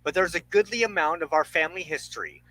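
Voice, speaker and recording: A man's voice: on the high side, fast, pushed and bright, a little hiss or hum, rough recording. A man hosting a podcast in a casual, conversational interviewing style. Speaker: podcast host.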